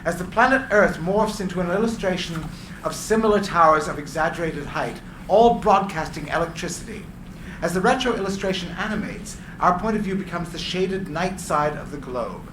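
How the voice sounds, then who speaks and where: A man reading screenplay stage directions as narrator, with deliberate descriptive phrasing. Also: high-pitched. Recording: echoey room, clear background noise.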